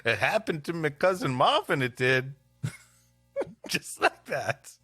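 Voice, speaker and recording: A man co-hosting a podcast, reading stories aloud dramatically and joking playfully with a deadpan streak. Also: a little high, slow pace, husky, no room sound, soft background music. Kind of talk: dramatic story reading with comic banter.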